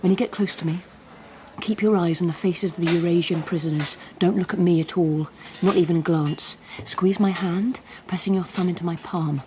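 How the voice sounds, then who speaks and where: A woman's voice: fairly low pitch, steady tone, moderate pace, a little mellow, slight background noise, natural voice, low-quality recording. An actress playing a bold, secretive young rebel woman in a radio drama, giving clipped, bossy instructions. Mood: urgent, secretive. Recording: music behind the voice.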